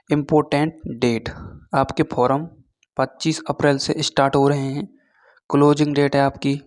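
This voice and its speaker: A man giving an informal explainer lesson as an online teacher, reading through points haltingly and often checking in with short tag words. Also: medium pitch, a little mellow. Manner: switches between Hindi and halting read-out English.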